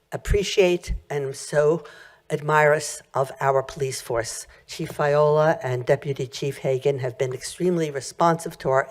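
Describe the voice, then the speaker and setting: A woman with a loud voice speaking at a township meeting's public comment, rambling earnestly with many fillers and restarts into a podium microphone. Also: low voice.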